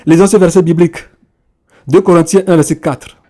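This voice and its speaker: Emphatic male preacher, mellow voice. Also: fairly high pitch, fairly even intonation, fairly quick. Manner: emphatic, repeats phrases, punctuates with hallelujah. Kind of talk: sermon.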